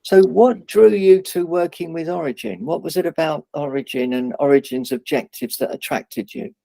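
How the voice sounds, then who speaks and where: A man hosting an interview, asking questions in a measured, encouraging way. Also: a little high, vocal fry, dark tone, dry recording. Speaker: interviewer, outreach lead.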